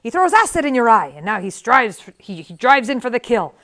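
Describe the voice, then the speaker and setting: Lady doing a gruff-tempered fencing teacher man, scoffing, pushy, storybook style. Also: a little high, very animated, brisk. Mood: scornful, challenging. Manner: scoffing, challenging, forceful. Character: scornful, tough old Scottish male fencing master.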